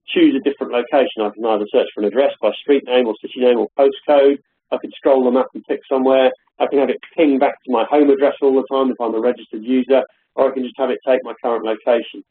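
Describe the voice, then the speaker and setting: A man giving a hesitant, filler-heavy software demonstration on a live call from his home office. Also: mid-range voice, husky, narrow, tinny audio. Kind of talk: live webinar product demo. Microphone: call audio.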